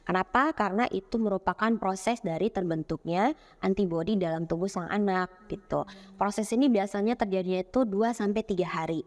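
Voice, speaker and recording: A woman's voice: medium pitch, fairly quick. A woman pediatrician with an Indonesian accent, patiently explaining child health on a podcast with fillers and restarts. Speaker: pediatrician guest.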